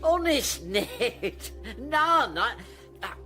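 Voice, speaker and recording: An older actor playing a fat, boastful, roguish old knight in a Shakespearean radio drama, blustering and halting as he wheedles. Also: extremely high pitch, very animated, sharp tone, heavily acted. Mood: wheedling excuses. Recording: music behind the voice.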